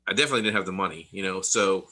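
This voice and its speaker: A middle-aged man with a very bright, forceful voice set a little low, talking casually about his past. He rambles and restarts often and laughs now and then between thoughts. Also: very fast. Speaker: interview guest, Army veteran. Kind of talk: casual conversational interview.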